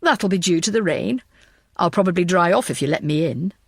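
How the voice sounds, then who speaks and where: A woman's voice: on the low side, animated, a little fast, vocal fry, husky, no echo, exaggerated voice. A woman voicing a genial father in his late sixties in an audiobook, dry, easygoing and jokey. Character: genial, wry sixty-eight-year-old father.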